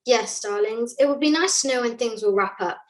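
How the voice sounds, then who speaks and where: A woman's voice: a little high, a little fast, far from the mic, lightly acted. A young woman acting a vain, pampered puppet with affected, gushing manners in an amateur puppet show. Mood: affected, breezy. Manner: affected, gushing "darling" talk. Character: vain, pampered luvvie puppet, boutique regular.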